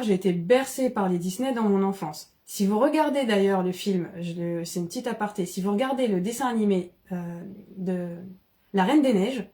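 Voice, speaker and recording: A woman from the Paris region, explaining ideas in casual, animated conversation with frequent restarts. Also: mid-range voice. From Paris region, France. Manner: animated, many restarts and fillers.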